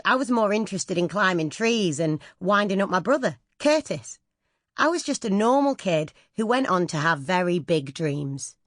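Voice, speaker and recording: A woman's voice: fairly low pitch, no echo, clean studio sound. A woman reading a scripted first-person memoir as an audiobook narrator.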